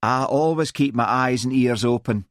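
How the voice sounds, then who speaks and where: A man's voice: medium pitch, moderate pace, dry recording, studio quality, voice pushed up, natural voice. A man playing a whining, sing-song Scottish policewoman. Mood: nagging. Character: sour, contemptuous young policewoman, whining and accusing.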